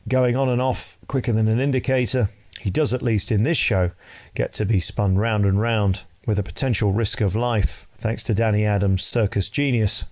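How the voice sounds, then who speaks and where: A man with a fairly low voice presents a scripted review. He speaks like an announcer, leaning on key words with emphasis. Deliberate pauses break up his phrases. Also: a little mellow. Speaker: entertainment reviewer and host.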